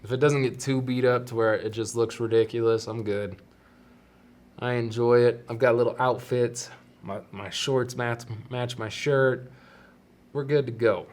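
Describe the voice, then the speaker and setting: A man's voice: mid-range voice, fairly even intonation, unhurried, darkish tone. A young man hosting his own podcast in casual solo chat, talking with a stuffy, congested nose in a rambling, self-deprecating way. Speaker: podcast host.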